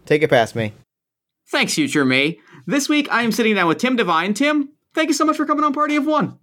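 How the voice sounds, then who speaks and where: A man hosting a tabletop game podcast, chatting casually and eagerly, and saying again and again how excited he is. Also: extremely high pitch, brisk. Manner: eager, gushing, repeats that he is excited. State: has a cold, losing his voice. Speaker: podcast host. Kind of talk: casual chat.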